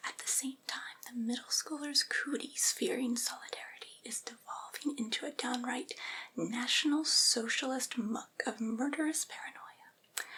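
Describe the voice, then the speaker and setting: A woman playing a vampire's devoted, patient familiar in a whispered ASMR roleplay, speaking softly and breathily in a coaxing, chatty, gently teasing way. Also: on the high side, breathy edge, full character voice, low-quality recording. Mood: hushed, intrigued.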